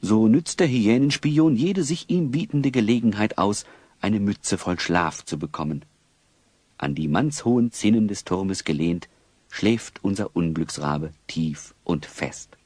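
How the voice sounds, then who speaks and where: A man narrating a children's audio drama as a storyteller, wry and expressive. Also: fairly low pitch, unhurried, no room sound.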